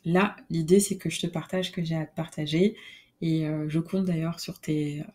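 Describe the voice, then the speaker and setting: A woman hosting her own podcast alone, talking in a relaxed, reflective way with many fillers and restarts. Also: deep voice, moderate pace. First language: French. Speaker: podcast host. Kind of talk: casual solo podcast monologue.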